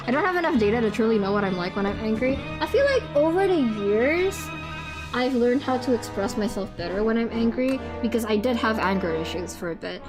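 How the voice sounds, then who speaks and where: A young adult non-binary streamer with a higher-pitched voice chats casually during a live reaction stream. They stammer through restarts, laugh often and swear freely.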